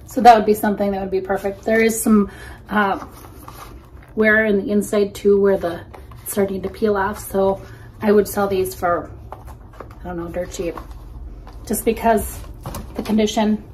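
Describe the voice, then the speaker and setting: Woman in her 40s, easygoing reseller vlog host, mid-pitched, faint room hum, desk-distance camera mic. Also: level tone, moderate pace, long pauses, a little mellow. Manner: chatty, easygoing, frequent ums. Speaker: reseller vlogger. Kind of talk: casual unboxing commentary. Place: small indoor room against a plain wall.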